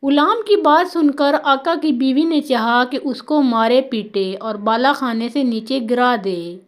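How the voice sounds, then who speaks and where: A woman narrating a scripted moral tale as a storyteller. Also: sits high.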